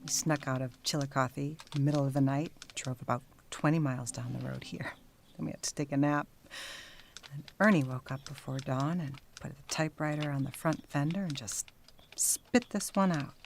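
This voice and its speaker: A woman's voice: deep voice, steady tone, normal speed, creaky, slight background noise. An actress playing the volatile, teasing, hard-drinking wife of a 1930s newspaperman in an audio drama. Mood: calm, narrating. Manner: needling, mercurial. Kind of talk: naturalistic audio drama acting.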